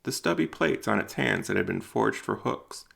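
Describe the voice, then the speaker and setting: A man narrating scripted dramatic fiction in a solemn, deliberate storytelling manner. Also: on the low side, animated, vocal fry, fairly bright tone.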